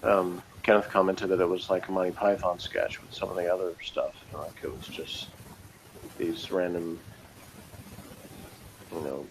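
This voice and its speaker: A man's voice: deep voice, steady tone, measured pace, long pauses, low-quality recording. A man co-hosting a casual, opinionated episode review in a rambling, loosely phrased way, with faint noise behind him. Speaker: review show co-host.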